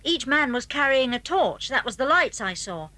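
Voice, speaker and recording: A keen schoolboy conspirator in an adventure drama. The voice is high, sharp and very dry, with eager storytelling phrasing. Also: female voice, very animated, normal speed, no room sound, full character voice. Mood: excited, conspiratorial. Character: keen, secretive schoolboy telling of his exploits. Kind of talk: scripted children's audio drama.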